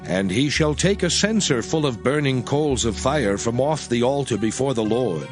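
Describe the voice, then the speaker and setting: A man narrating scripture as a measured, expressive reading in archaic English. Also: mid-range voice. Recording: music bed under the voice. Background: music.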